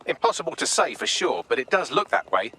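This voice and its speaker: A man's voice: a little high, very animated, a little fast, gravelly, bright, forceful tone, a little hiss or hum, exaggerated voice. An actor playing a witty, dry male AI assistant, in a video game. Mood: wry. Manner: dry, sardonic.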